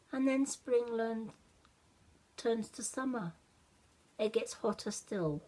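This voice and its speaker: A woman voicing a gentle, wistful magic snowman in a children's story, speaking with patient resignation. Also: medium pitch.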